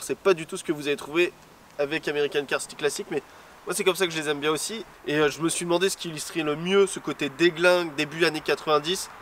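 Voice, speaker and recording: A fairly high-voiced man chats loosely about cars and music, joking and rambling with plenty of hesitations. He sounds set back from the microphone, with faint outdoor noise around him. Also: distant mic. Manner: relaxed, rambling, joking, with frequent "euh". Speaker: co-host.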